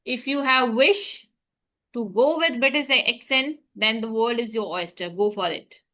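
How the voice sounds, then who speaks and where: A woman, interviewing in a casual conversation, asking probing questions and gently making her case. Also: fairly high pitch, a little slow. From India.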